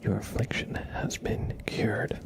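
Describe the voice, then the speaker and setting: A man whispering slowly and playfully in an ASMR roleplay style, telling absurd stories with a straight face. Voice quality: breathy whisper with creaky edges. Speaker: ASMR livestream host.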